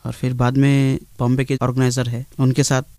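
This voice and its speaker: Even-toned man answering interview questions. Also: medium pitch, brisk, no room sound. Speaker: singer being interviewed.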